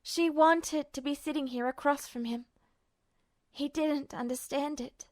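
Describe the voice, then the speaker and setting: Woman narrator, high voice, dry close recording, emotive measured audiobook reading. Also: steady tone, no echo. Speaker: podfic reader. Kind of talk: audiobook narration of fan fiction.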